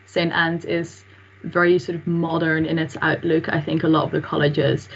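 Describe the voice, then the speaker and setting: A woman's voice: sits low, completely flat delivery, normal speed, slightly rough. A young woman from the Netherlands, a student, chatting with many fillers on a video call from a room at home. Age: young adult. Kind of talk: casual Q&A answers on video call. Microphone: laptop webcam mic on video call. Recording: dull lo-fi video call audio.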